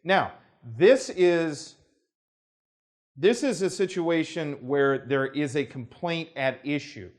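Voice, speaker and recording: An American man, an attorney, explaining a legal process in a formal, measured way, with careful legal phrasing, hedges and the odd restart. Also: on the high side. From United States. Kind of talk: unscripted talking-head explanation.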